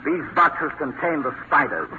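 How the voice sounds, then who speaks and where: An actor playing a gloating, vengeful mad scientist villain in a theatrical old-time radio thriller style. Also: male voice, on the high side, big pitch swings, moderate pace. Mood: gloating, menacing. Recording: noisy, dull vintage radio recording.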